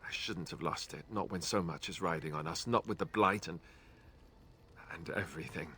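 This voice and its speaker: Earnest young game warrior, very low voice, subdued and sincere. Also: male voice, normal speed, pushed and bright, a little hiss or hum. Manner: earnest, subdued and self-doubting. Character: young male warrior, earnest, grieving his mentor.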